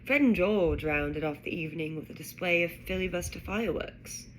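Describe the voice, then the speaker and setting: A woman putting on a British accent to read a children's storybook aloud in a measured, expressive way. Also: fairly low pitch, slight background noise, close to an everyday voice, rough recording. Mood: storytelling. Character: British storybook narrator reading a wizard school tale. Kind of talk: scripted narration.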